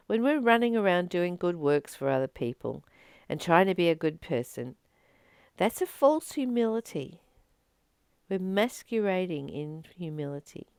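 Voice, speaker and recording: A woman speaking as a spiritual teacher, reflecting informally on a reading in an earnest, expressive way with emphatic repetition. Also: lowish voice, clean tone, dry recording, clean studio sound. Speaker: spiritual teacher and channel host.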